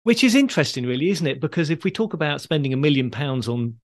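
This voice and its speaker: A man's voice: on the high side, big pitch swings, fast. A man hosting a conversational history podcast, talking with a storyteller's enthusiasm. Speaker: podcast host.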